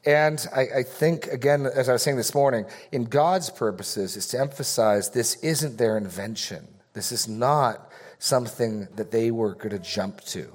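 A man's voice: mid-range voice, moderate pace. A man leading a Bible study group, teaching casually and taking questions, rambling a little, correcting himself and joking in asides.

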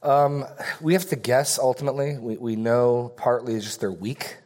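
A man's voice: medium pitch, steady tone, moderate pace, a little mellow. A man leading a Bible study group, teaching casually and taking questions, rambling a little, correcting himself and joking in asides.

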